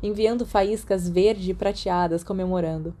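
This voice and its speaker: A woman's voice: mid-range voice, steady tone, moderate pace, mellow. A woman with a Brazilian accent reading a scripted story as an audiobook narrator. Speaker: podfic narrator.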